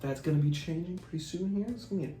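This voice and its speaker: A man's voice: on the high side, racing, dark tone, recorded from a distance. A young man in his twenties co-hosting a casual chat, upbeat with playful quips. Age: 20s. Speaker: co-host, son. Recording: echoey room off-mic, faint music.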